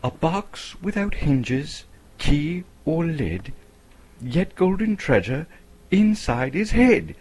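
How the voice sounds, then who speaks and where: A man's voice: on the high side, sing-song, slow pace, darkish tone, husky, voice pushed up, exaggerated voice. A man voicing a plucky, impatient little hobbit adventurer with emphatic, exclaiming delivery in a storybook reading. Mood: confident.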